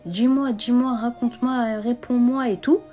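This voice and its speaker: A woman's voice: a little high, fairly even intonation, normal speed, dark tone, highs cut off, faint music behind, pitched above the performer's own voice, close to an everyday voice. A woman voicing a distraught twelve-year-old adopted girl in a spoken story, pleading and insistent.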